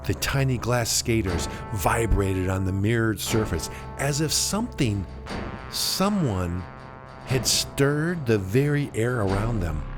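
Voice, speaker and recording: Male narrator for suspense fiction: mid-pitched, slow and measured, dramatic pauses, widely varied intonation. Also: expressive. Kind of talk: dramatic scripted story narration. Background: music.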